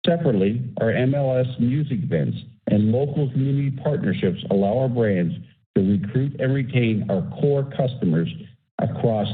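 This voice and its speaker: A male executive speaks over a narrow, phone-like conference line. He reads prepared business remarks in a formal, scripted way, as if presenting results to investors. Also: medium pitch. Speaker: company executive. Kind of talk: scripted earnings call presentation. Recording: phone-like conference call webcast audio.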